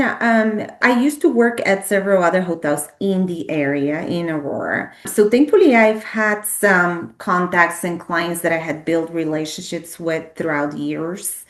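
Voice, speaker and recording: A middle-aged woman from Bulgaria talks through her work in a fairly low voice, earnest and full of small restarts and fillers. Her voice rings in a roomy, echoey space. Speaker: hotel director of sales, guest. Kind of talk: conversational interview answers.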